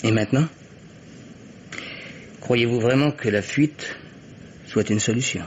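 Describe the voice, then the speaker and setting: An actor playing a concerned, loyal friend in an old French radio mystery, reasoning politely with hesitant interjections. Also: male voice, medium pitch, slow, natural voice. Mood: reassuring, practical. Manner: formal address, hesitant, interjects often. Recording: dull, noisy vintage radio recording.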